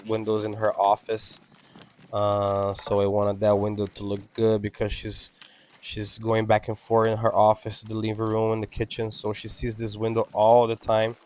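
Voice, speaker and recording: A man whose first language is Portuguese, speaking English with an accent as he talks over his window cleaning video, halting and full of fillers. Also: lowish voice, a little mellow, slight background noise. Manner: halting, many fillers and restarts. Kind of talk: casual talk-over.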